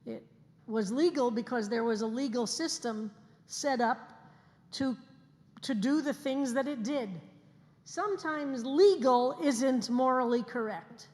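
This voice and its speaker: A woman in her sixties, a rabbi, giving an earnest sermon to her congregation and slowing down to stress key phrases. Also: high-pitched, darkish tone. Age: 60s. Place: synagogue sanctuary, livestreamed service. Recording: long hall reverb.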